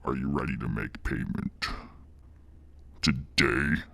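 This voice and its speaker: A man voicing a menacing reptilian demon boss with a deep gravelly growl, speaking in slow, mock-polite, condescending corporate fashion. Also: fairly even intonation, sharp tone, heavily acted. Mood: smugly menacing.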